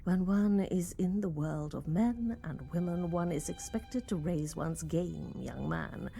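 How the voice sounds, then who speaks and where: Woman acting a dark-voiced, haughty senior office woman. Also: fairly low pitch, measured pace, vocal fry, soft background music. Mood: scolding. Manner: formal, scolding, haughty. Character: stern, disapproving senior female company employee. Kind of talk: radio play monologue acting.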